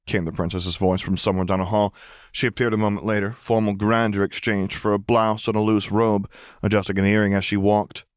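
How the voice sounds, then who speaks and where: Low-pitched man narrating a story. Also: little pitch movement, a little fast, no echo, clean studio sound. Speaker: audiobook reader.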